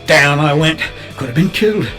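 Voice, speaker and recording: An actor playing a bitter, unravelling British photographer in an audio drama monologue, rambling and coarse, darkly matter-of-fact. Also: male voice, fairly high pitch, lively intonation, moderate pace, sharp tone. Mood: agitated, resentful. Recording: music under the voice.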